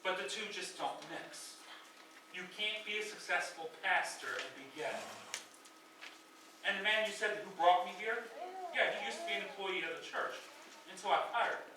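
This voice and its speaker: A man's voice: high-pitched, normal speed, close to an everyday voice. A man on stage playing a troubled, defensive church pastor confined to a hospital bed. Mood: defensive, indignant. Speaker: stage actor. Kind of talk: live stage play acting. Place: stage in a roomy hall. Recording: echoey, noisy stage recording from far off.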